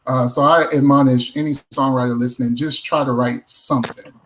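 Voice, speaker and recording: Measured man giving casual practical advice. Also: medium pitch, fairly even intonation, a bit bright, poor audio. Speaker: songwriter and singer, guest.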